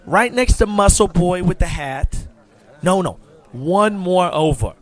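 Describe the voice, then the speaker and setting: A man playing a fussy, bossy photographer in an audio drama, giving halting instructions. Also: high-pitched, lively intonation, soft background music. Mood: fussy, directing. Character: fussy, bossy male photographer directing a group.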